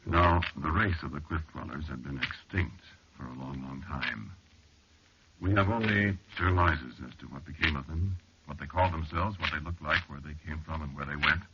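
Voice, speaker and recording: Anxious, irritable explorer narrating old radio horror; very low voice, halting delivery, noisy muffled recording. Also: male voice. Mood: brooding narration. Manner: halting, deliberate storytelling with dramatic pauses. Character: anxious, irritable male explorer recounting an eerie ordeal. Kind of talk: first-person 1940s radio horror narration and acting.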